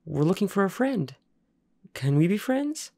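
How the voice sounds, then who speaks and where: Guy doing a nervous kid, high and pleading, hopeful. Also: sing-song, measured pace, gravelly, warm tone, exaggerated voice. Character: eager nervous young boy.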